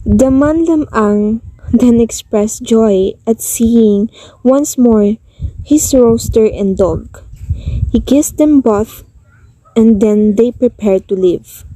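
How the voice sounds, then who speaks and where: Female storyteller, fairly high but mellow, unhurried scripted narration, dry sound. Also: no echo, rough recording.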